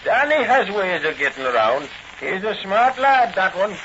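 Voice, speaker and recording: Casting: wisecracking beat cop, high-pitched, folksy vintage radio drama, muffled noisy recording. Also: male voice, sing-song, moderate pace, sharp tone, exaggerated voice. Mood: wry, good-natured. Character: good-natured, wisecracking male beat cop with folksy speech. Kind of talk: 1940s radio acting.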